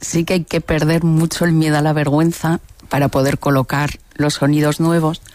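A woman French teacher explaining her view on a panel in a measured way. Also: deep voice, level tone, a little mellow, no echo. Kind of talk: panel discussion.

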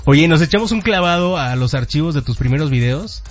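A man with a Mexican accent hosting a radio show, chatting playfully with slang and quick laughs. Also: on the high side, expressive, highs cut off, faint music behind. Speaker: radio host. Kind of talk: casual interview chat.

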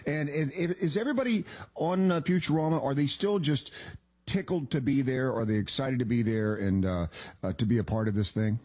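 Husky-voiced male radio host. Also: medium pitch, fairly quick, darkish tone. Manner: eager and friendly, many restarts. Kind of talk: casual radio interview.

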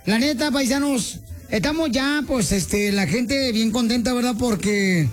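Very high-pitched Mexican man hosting comedy radio. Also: measured pace. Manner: exclamatory, playful, slangy, addresses listeners directly. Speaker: radio show host. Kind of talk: comedic morning-radio banter. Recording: music playing behind. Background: music.

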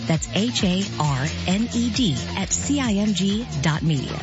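A woman's voice: medium pitch, expressive, measured pace. A woman reading a scripted radio commercial with an inviting sales tone. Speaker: ad announcer. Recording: lo-fi radio ad with music bed.

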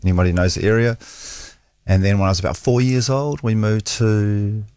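A man's voice: fairly low pitch, brisk, a little mellow, clean tone, no echo. A middle-aged man with a New Zealand accent, a guest telling candid, self-deprecating stories about his life in a studio interview. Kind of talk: casual interview storytelling. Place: radio studio.

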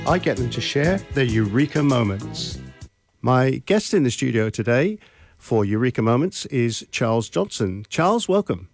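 A man hosting a community radio interview show, chatty and given to side remarks. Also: mid-range voice. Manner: friendly, chatty, prone to digressions. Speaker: radio host. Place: radio studio.

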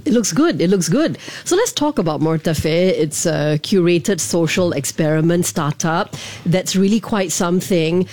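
A woman's voice: fairly low pitch, animated, a little slow, a little mellow. A woman hosting an interview, chatty and affirming, asking long questions.

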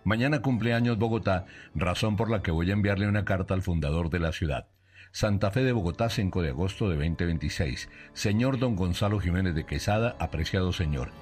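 A Colombian man with a fairly low voice delivers a scripted satirical monologue as a radio commentator. Music plays softly behind him. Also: a little fast.

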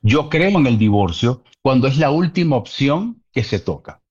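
A man's voice: medium pitch, sing-song, normal speed, a little mellow, husky, muffled recording. A man talking earnestly about relationships in a casual conversation, repeating key points for emphasis.

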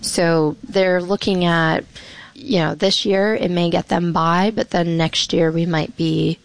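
A woman's voice: on the low side, fairly even intonation, takes it slowly, gravelly, no echo, rough recording. A woman reporter on a radio interview, explaining policy in a matter-of-fact, informed way with many "you know"s. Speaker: reporter guest.

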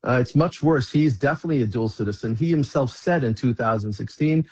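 A man's voice: medium pitch, a little fast, a little mellow, husky, highs cut off. A man, a rabbi, speaking as a guest on a talk radio show in an urgent, emphatic way.

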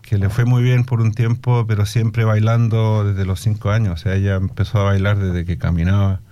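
A man's voice: on the low side, little pitch movement, normal speed, warm tone, dull audio. A middle-aged man with a Chilean accent touched by Australian English, speaking reflectively in an interview, searching for words and slipping English into his Spanish. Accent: Chilean Spanish with Australian English influence. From Australia, Chilean immigrant family. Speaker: musician and music educator being interviewed.

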